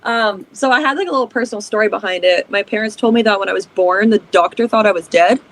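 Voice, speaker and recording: A chatty young woman from Canada answers interview questions with an upbeat, easygoing flow. Her pitch sits in a middle range and she leans on fillers as she tells her stories. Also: fast talker, low-quality recording. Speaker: band singer and guest. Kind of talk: casual interview chat.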